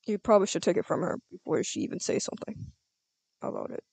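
A casual, halting speaker improvising a part in a video game roleplay. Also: mid-range voice, sing-song, vocal fry, a little mellow, husky, no echo. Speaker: player acting as a doctor in a game roleplay.

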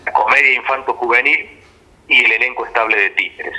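A man's voice: mid-range voice, fairly quick, pushed and bright, poor audio. A middle-aged Argentine man answering interview questions as a theatre director, explaining things over a radio phone link.

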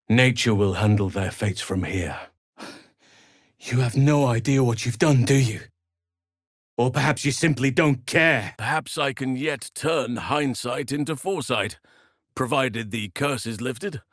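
An actor playing a gentle, solemn, burly elf druid in a fantasy video game, speaking in an earnest, measured and formal way. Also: male voice, medium pitch, no echo, clean studio sound. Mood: grave reproach, then solemn regret.

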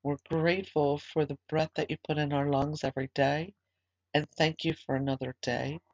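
A slightly hoarse woman leading a small online religious service, giving announcements in a conversational way with fillers and restarts, then praying. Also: low voice, steady tone, normal speed, dry recording, dull audio. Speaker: ministry leader.

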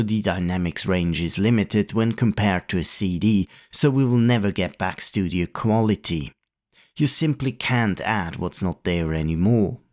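A man hosting a retro computing channel, giving a calm, methodical tutorial voice-over. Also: lowish voice. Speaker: retro computing video host.

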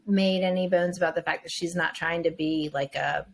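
A woman's voice: fairly low pitch, fairly even intonation, moderate pace, creaky. A woman co-hosting a podcast in casual chat, rambling with restarts and asides. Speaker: podcast co-host.

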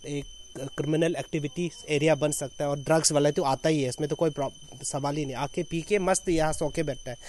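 A man in his thirties speaks in a slightly high voice with a Northeast Indian, Arunachal accent. He sounds earnest, making an off-the-cuff statement to reporters' microphones outdoors, stacking repeated phrases to press his point. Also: fairly even intonation, brisk, darkish tone, slight background noise. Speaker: foundation chairman, activist. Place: outdoors in an open clearing with trees. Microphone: handheld reporters' microphones held at chest height.

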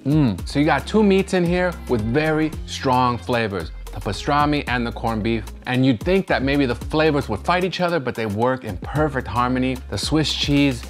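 A man's voice: medium pitch, expressive, normal speed, few pauses. A man in his thirties hosting a food vlog, describing each dish in an upbeat way on a lapel mic. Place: hotel room and restaurant dining room. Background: background music.

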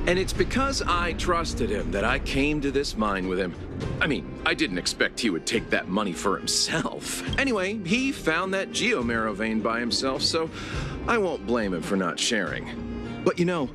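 An actor playing a gruff, sly, easily affronted camp cook in a video game, theatrical and self-righteous. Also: male voice, medium pitch. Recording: game music behind.

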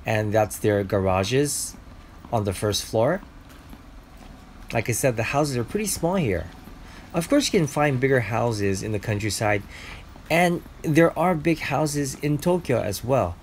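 A man's voice: mid-range voice, animated, unhurried, a little hiss or hum. A man in his forties narrating a casual vlog, explaining food and his neighborhood to viewers in a chatty way. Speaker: vlogger.

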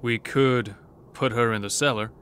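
A man's voice: mid-range voice, steady tone, moderate pace, fairly bright tone, natural voice. Man playing a worried innkeeper, faint noise. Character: worried male bed and breakfast owner. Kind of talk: audio horror drama acting.

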